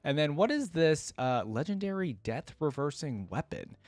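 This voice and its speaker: A man's voice: on the high side, big pitch swings, moderate pace, no room sound. A man hosting a reaction channel, speaking casually to viewers as he comments and reads story passages aloud. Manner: casual, addresses viewers directly. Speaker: host of a game lore reaction channel.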